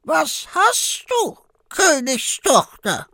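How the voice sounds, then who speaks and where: A man voicing a male frog in a fairy tale, in a high, drawn-out, croaking way. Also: huge pitch swings, sharp tone, no room sound, full character voice. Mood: pleading. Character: pleading slow-voiced male frog.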